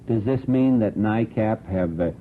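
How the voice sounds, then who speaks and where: A man's voice: fairly low pitch, unhurried. A man hosting a radio talk show, putting probing questions to his panel of guests. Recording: muffled old radio broadcast, clear hiss.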